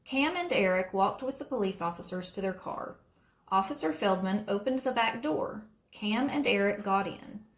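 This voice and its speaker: A woman narrates a children's story with deliberate, expressive phrasing. Her pitch moves in lively swings, and the sound is roomy and echoing. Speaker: storybook reader. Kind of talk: read-aloud narration for children.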